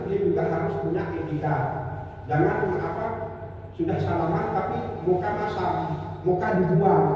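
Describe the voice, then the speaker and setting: A man giving an informal religious talk as a preacher, speaking conversationally and repeating phrases for emphasis. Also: on the high side. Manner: conversational, illustrates with everyday anecdotes. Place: large reverberant indoor space, recorded from far off. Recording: distant, echoey hall, dull with faint noise.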